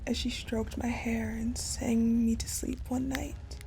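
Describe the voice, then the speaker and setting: A woman playing a wounded, vengeful ice-powered general in a dramatic audio roleplay monologue, halting and breathy, often slipping toward a whisper. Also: fairly high pitch, flat delivery, warm tone, faint music behind. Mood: tender, mournful.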